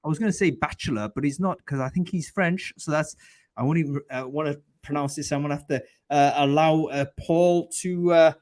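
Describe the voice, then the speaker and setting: A man's voice: a little high, a little fast, darkish tone, dry recording. A man hosting a live online show, talking in a casual, enthusiastic way with many fillers and restarts. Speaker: host of a live recruiting show. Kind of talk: casual live-stream chat.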